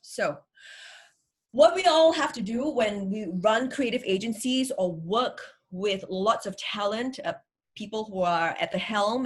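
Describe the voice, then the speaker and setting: A woman from Singapore with a Singaporean accent, giving an energetic, upbeat workshop talk over a video call. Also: mid-range voice, breathy edge. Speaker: workshop speaker. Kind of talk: online workshop presentation. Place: home room with virtual background.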